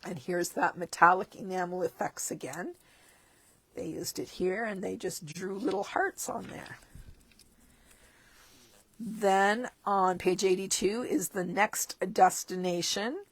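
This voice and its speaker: A woman's voice: lowish voice, slow pace, slightly rough. A woman demonstrating stamping supplies in a casual walkthrough of a catalog, chatty with chuckling asides, and losing her voice. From Canada. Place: tabletop craft desk indoors.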